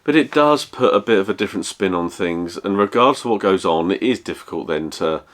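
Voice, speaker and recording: A man's voice: deep voice, expressive. A man, chatting casually as a travel vlogger, rambling with many restarts and fillers. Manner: measured, rambling, lots of fillers and self-corrections. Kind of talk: casual chat.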